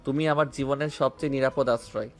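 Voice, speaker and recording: A man's voice: mid-range voice, steady tone, fairly quick, faint music behind, natural voice. A man playing a tender teasing young husband. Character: affectionate playful young husband. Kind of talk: romantic audio drama acting.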